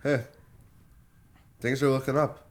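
A man's voice: mid-range voice, flat delivery, slow pace. A man co-hosting a casual podcast, teasing his friends with easygoing banter. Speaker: podcast co-host. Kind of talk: casual chat.

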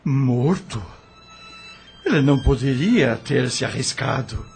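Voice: mid-range voice, animated, slow pace, vocal fry, darkish tone, faint music behind. An actor with a Brazilian accent playing a distressed, grieving father in a radio drama. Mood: shocked, pleading. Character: distressed father newly told of his son's death.

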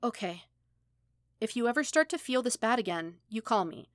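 A woman's voice: a little high, lively intonation, normal speed, no room sound, studio quality, voice pushed up, lightly acted. A woman voicing a casual, blunt but caring older male mentor in a story, talking steadily and reassuringly. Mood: earnest, protective.

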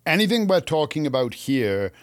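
A man's voice: on the high side, big pitch swings, moderate pace, dry recording. Canadian man chatting, close dry sound. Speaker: podcast co-host. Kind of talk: casual explanatory chat.

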